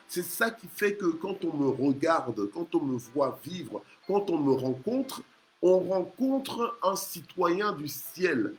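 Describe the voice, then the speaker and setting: A male preacher delivers a sermon in a fairly high voice. He is emphatic and exhorting, repeating his phrases for weight. There is some background noise in the recording. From Congo. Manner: emphatic, repeats phrases, punctuates with hallelujah and amen. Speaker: preacher leading a morning prayer. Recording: clear background noise, ambient sound.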